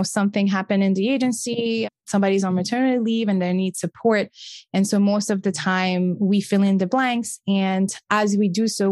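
A woman from Senegal whose first language is French talks as a podcast guest. She is in her thirties, and her answers come out upbeat and quick. Her voice sits at a middle pitch and is recorded dry and close. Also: darkish tone, dry recording. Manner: upbeat, quick, laughs often. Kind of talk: casual interview answers.

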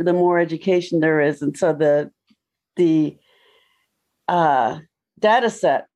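Woman panelist, lower pitch, measured and explanatory. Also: a little mellow. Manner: thoughtful, explanatory. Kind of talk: casual panel discussion.

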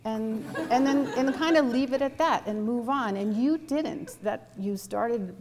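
A woman with a low voice interviewing an author in front of an audience, chatty and hesitant with many fillers. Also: a little slow, noisy background. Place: event space with an audience.

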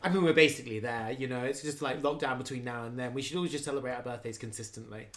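A man's voice: mid-range voice, rapid-fire, a bit bright. A man of about thirty in a casual chat, wry and emphatic, repeating himself as he thinks aloud.

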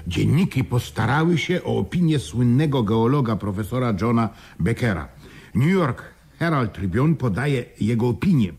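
An actor playing an excitable but pragmatic man in a radio play, talking conversationally in a husky voice. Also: medium pitch, moderate pace. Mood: informative. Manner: conversational, animated, hesitant repetitions. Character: excitable, pragmatic man explaining news to his partner. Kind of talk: Polish radio play acting.

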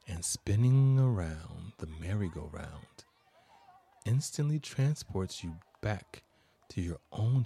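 Slow, deep-voiced man guiding meditation. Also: vocal fry, mellow, slightly rough. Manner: measured, soothing, deliberate phrasing. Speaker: podcast host and meditation guide. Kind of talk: guided meditation narration.

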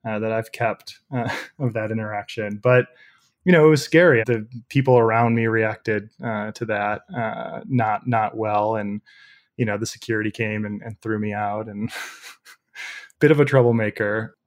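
A young man with a loud voice, a podcast guest telling stories casually with many fillers. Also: fairly low pitch, fairly even intonation, normal speed. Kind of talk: casual storytelling interview.

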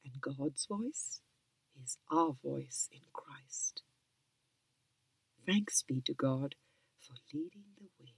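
A woman reading a spiritual book aloud in a soft, calm whisper. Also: rumbling low, slow pace, gravelly, long pauses, slightly rough, no echo. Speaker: reader.

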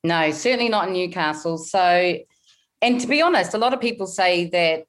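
A woman in her forties from New Zealand with a softened Kiwi accent, telling her story candidly in a podcast interview. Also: fairly low pitch, lively intonation, normal speed. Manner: candid storytelling, frequent fillers and restarts. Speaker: guest, money coach.

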